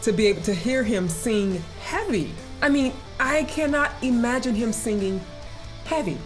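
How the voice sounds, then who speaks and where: A woman's voice: a little high, lively intonation, normal speed. A woman hosting a music reaction in casual chat, effusive and repeating words for emphasis.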